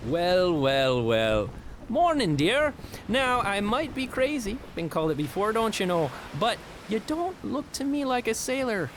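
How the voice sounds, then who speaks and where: An actor playing a big, ruthless pirate captain with folksy, mock-cheerful politeness in an audio fantasy drama. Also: male voice, high voice, sing-song, a little slow, a little hiss or hum, heavily acted. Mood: mocking, genial. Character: large, jovially menacing red-bearded pirate captain, ruthless.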